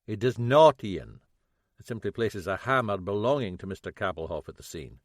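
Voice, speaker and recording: A man's voice: fairly low pitch, expressive, moderate pace, no room sound, studio quality. Man doing a wry Scottish police chief. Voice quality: wry, commanding. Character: shrewd dry-witted Scottish police chief.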